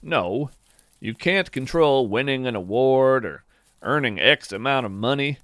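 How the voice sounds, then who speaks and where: Slow, lively-toned male podcast narrator. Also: medium pitch, dry recording. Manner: calm, measured, gently philosophical. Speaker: podcast host and writer. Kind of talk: scripted reflective narration.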